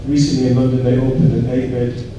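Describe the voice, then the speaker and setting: A man talks at an event from some distance off the mic, in a heavily echoing room with clear background noise. His delivery is earnest and reflective, fairly flat in melody, with pauses and repeated words as he gathers each point. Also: mid-range voice. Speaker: panel speaker. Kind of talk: panel discussion remarks. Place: large echoey indoor event room.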